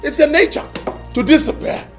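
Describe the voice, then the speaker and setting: A hot-headed arrogant prince. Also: male voice, extremely high pitch, moderate pace, soft background music. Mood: scornful. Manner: defiant, shouting threats, sneering.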